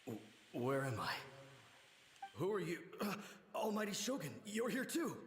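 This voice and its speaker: A man's voice: high-pitched, big pitch swings, unhurried, a little hiss or hum. A man voicing a loyal, dutiful samurai soldier in a game, earnest and formal. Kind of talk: game voice acting. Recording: echoey with long reverb.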